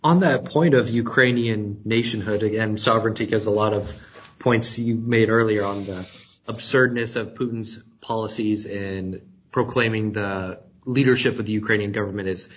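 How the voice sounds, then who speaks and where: Low, husky-voiced male moderator. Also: fairly even intonation, normal speed, lo-fi sound. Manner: long, winding questions. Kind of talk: moderating a panel.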